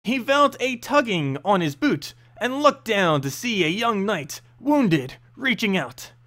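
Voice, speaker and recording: A man narrating a fantasy story in an expressive, dramatic storyteller's manner. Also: high-pitched, a little slow. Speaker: narrator reading game lore.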